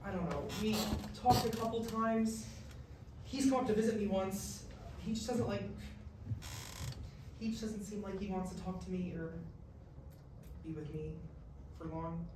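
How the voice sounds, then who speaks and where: A man's voice: sits high, steady tone, unhurried, pauses often. Very echoey, awkward college guy played by an actor. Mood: dejected. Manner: hesitant, casual. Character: awkward, self-blaming male college student grandson. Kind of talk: staged musical reading, naturalistic dialogue. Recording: very echoey distant room, noisy.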